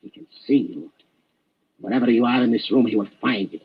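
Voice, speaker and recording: A man playing a menacing, commanding mad scientist villain with a husky voice, in 1940s radio drama style. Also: mid-range voice, a little fast. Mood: gloating menace. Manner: menacing, theatrical, emphatic. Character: gloating mad scientist villain, commanding, panicky when cornered. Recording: dull, lo-fi 1940s radio recording.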